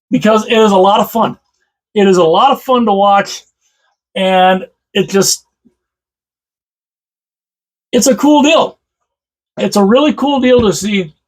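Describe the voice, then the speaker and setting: A man with a slightly hoarse voice, chatting casually about hockey as a channel host, rambling and repeating himself with enthusiasm. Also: sits high, rough recording. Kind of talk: casual solo commentary to camera.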